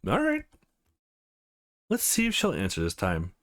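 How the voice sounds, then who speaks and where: A man's voice: medium pitch, huge pitch swings, measured pace, a bit bright. A man hosting a gaming channel, narrating and reading a visual novel aloud in an informal way.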